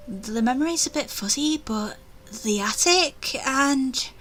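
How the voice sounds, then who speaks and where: A woman reading a visual novel aloud as its player, with expressive narration and casual asides. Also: high-pitched, slow pace.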